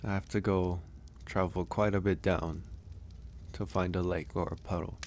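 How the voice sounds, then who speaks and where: A man voicing a gentle young werewolf in a soft, intimate ASMR roleplay, with an unhurried, hesitant and reassuring manner. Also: sits low, steady tone, a little hiss or hum, close to an everyday voice. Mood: thoughtful, relaxed. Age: young adult. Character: gentle young male werewolf, shy mountain recluse.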